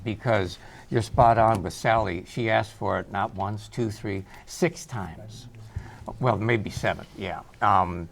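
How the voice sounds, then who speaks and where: Male panelist, low creaky voice, critiquing a script over faint noise. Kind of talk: spoken critique.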